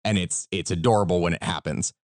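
A man's voice: deep voice, fairly even intonation, quick pace, no echo, studio quality. A man with an American accent and a slight Kentucky twang, telling history as a podcast host in a rambling, emphatic, informal way. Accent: American, slight Kentucky country twang. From Kentucky, United States. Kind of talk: conversational history storytelling.

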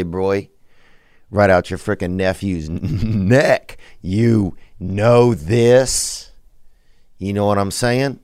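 A raspy-voiced man hosts a comedy podcast, his voice sitting very low. He rambles through crude, slangy riffs. The sound is dry and close, with no room around it. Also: no echo. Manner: loose, crude, slangy riffing. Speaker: podcast host. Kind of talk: rambling comic podcast talk.

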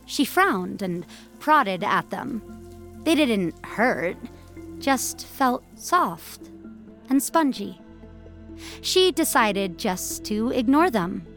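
Slow-paced woman narrating audio fiction. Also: mid-range voice. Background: music.

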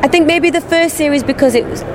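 A woman's voice: sits high, fast. A woman in her thirties with an English regional accent, chatting enthusiastically as a guest in a casual convention interview, often restarting her sentences. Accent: English regional, not London. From England. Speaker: guest actress. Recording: clear traffic noise outdoors.

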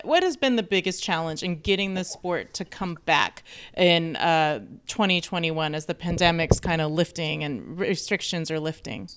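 A woman's voice: on the low side, fairly bright tone, clear, resonant tone. A woman hosting a sports show, interviewing a guest in a friendly, upbeat way. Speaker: show host.

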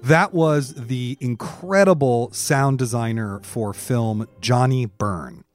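A man's voice: mid-range voice, slow, no echo, soft background music. A man hosting a conversational podcast, talking informally and thinking aloud in asides. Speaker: podcast host and interviewer.

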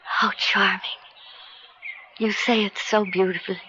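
A woman's voice: mid-range voice, little pitch movement, unhurried, crowd noise, lightly acted. An actress playing a charming, smitten married woman near forty in a period radio drama. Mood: coy, charmed. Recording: muffled vintage radio, music behind.

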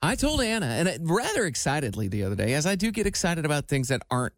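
A man's voice: a little high, huge pitch swings, fast talker, dry recording, studio quality. A man in his forties hosting a show with casual, playful, teasing banter. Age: 40s. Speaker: radio show host.

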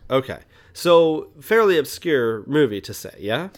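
A man's voice: mid-range voice, big pitch swings, a little slow. Guy cohosting a movie podcast, chatty n opinionated, into it, likes to explain stuff. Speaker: podcast co-host. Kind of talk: casual film review chat.

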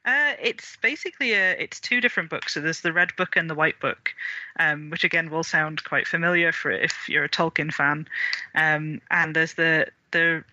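Man, podcast guest, very bright tense voice, hesitant with ums, dry muffled sound. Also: on the high side, normal speed. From Wales. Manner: hesitant, many ums and restarts. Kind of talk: podcast interview on folklore.

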